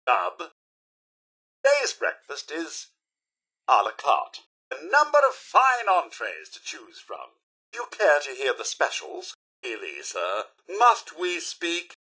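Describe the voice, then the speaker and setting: High, fussy British robot butler. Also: male voice, huge pitch swings, slow pace, sharp tone, slightly rough, exaggerated voice. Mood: polite, dry. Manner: prim, formal, theatrical servant's phrasing. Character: fussy, polite robot butler, male, dryly resentful. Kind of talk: video game character voice lines.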